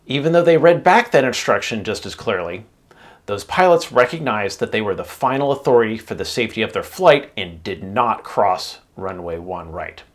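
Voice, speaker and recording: A man hosting an aviation channel, narrating an explanatory video in a measured way with emphasis on key points. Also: fairly low pitch, lively intonation.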